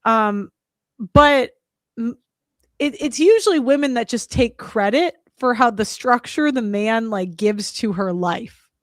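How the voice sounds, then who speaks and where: Chatty woman host, higher voice. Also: unhurried, dry recording, clean studio sound. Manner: chatty with many likes and ums. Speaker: podcast host. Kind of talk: casual call-in chat.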